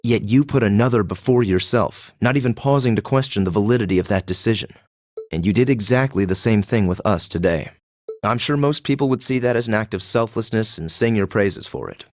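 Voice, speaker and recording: An actor playing a cool, composed young noble student in a video game, speaking formally and with measured, aloof diction. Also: male voice, lowish voice, no room sound, close to an everyday voice. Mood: serious, critical. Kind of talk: English video game voice acting.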